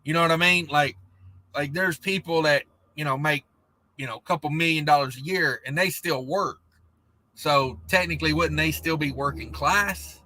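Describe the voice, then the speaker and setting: A man hosting a trucking livestream, reading viewer comments in a rambling, laid-back chat, and his voice is going hoarse. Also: fairly high pitch, moderate pace, bright, forceful tone. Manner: rambling, laid-back, many fillers and restarts. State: losing his voice.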